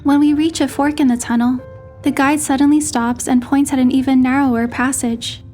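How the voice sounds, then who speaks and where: A young heroine narrating a romance game story, voiced by a woman. Her voice is fairly high, reading scripted first-person narration over soft music. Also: flat delivery, moderate pace, natural voice. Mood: gentle storytelling.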